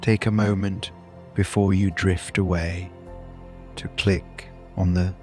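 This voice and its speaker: A man narrating a scripted bedtime story in a soothing, deliberate manner, with long pauses between short phrases. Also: low-pitched, flat delivery, darkish tone, faint music behind. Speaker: host and narrator of a sleep story podcast.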